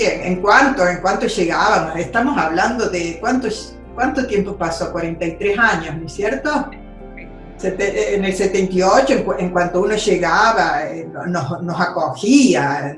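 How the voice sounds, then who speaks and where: A woman's voice: lowish voice, reverberant, rough recording. A woman, giving a hesitant, reflective testimony with many fillers, as a guest on a remote call. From Argentina. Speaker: interview guest. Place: remote call from home abroad.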